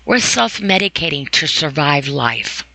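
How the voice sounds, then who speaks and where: A woman's voice: deep voice, very animated, a little slow, creaky, bright, forceful tone, low-quality recording. A woman hosting an informal, opinionated podcast monologue, speaking emphatically and repeating phrases for stress. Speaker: podcast host.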